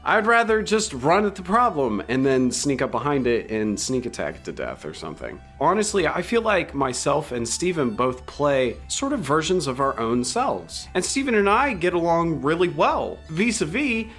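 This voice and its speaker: A man casually answering fan questions in an animated, off-the-cuff way as a podcast player. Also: a little high, moderate pace, few pauses, faint music behind. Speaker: podcast cast member, player.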